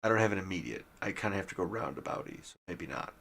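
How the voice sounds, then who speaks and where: A man's voice: sits low, expressive, fast talker, creaky, fairly bright tone. Irreverent, swearing man riffing casually. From Detroit, USA. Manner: profane, irreverent, laughs often. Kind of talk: casual rambling monologue.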